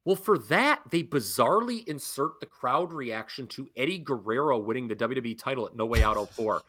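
Young adult man, podcast co-host, lively swooping pitch, bright tense tone, incredulous rants. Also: fairly quick. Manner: animated, incredulous ranting. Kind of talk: casual wrestling review chat.

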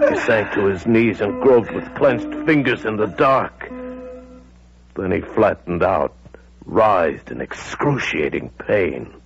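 A man's voice: mid-range voice, gravelly. A man narrating a 1940s radio drama in a suspenseful style that builds to urgent intensity, on a muffled old broadcast.

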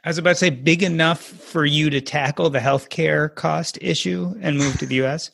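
A man's voice: fairly high pitch, fairly even intonation, normal speed, gravelly, fairly bright tone, breathy edge, no room sound. Male podcast host, curious interviewer, dry tone. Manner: curious, leading questions. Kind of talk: conversational interview questions.

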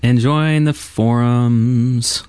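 A man with a mid-pitched voice co-hosts a podcast in casual conversational style. The recording is dry and free of echo. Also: fairly even intonation, extremely slow, darkish tone, smooth. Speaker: podcast co-host. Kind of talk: casual group podcast chat.

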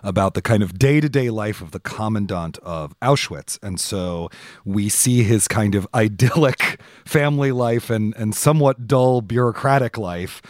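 A man with a fairly low voice works as an interview host, recorded very dry and close. He speaks conversationally, building long, considered questions for his guest. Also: expressive, moderate pace, dry recording.

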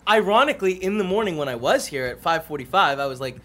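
A man's voice: sits high, fast. A man, the show's producer, defending himself in a casual comedy chat. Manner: defensive, deadpan.

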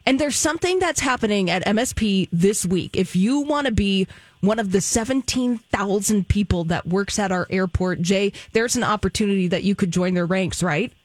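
A woman with a medium-pitched voice hosting radio in a conversational way. The sound is dry, with no room around her. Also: moderate pace, a little mellow, no echo. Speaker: radio show host.

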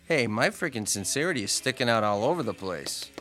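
A man's voice: medium pitch, sing-song, pushed and bright, soft background music. An actor playing a slangy, cajoling young bad-boy biker in an audio horror drama. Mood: pushy, coaxing. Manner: slangy, cajoling, casual drawn-out delivery.

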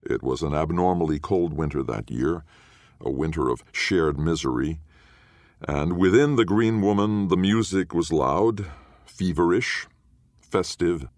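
Very deep-voiced man narrating literary fiction. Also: a little slow, darkish tone, no room sound, clean studio sound. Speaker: audiobook narrator.

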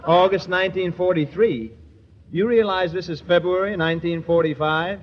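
A man's voice: high voice, normal speed. A man playing the easygoing, wisecracking lead of a 1940s radio comedy. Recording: noisy, dull old radio recording.

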